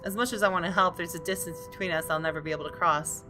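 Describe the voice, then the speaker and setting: Casting: female gaming host reading story narration, slightly low voice, clear game music behind her. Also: steady tone, fast. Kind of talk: reading visual novel narration aloud with casual asides.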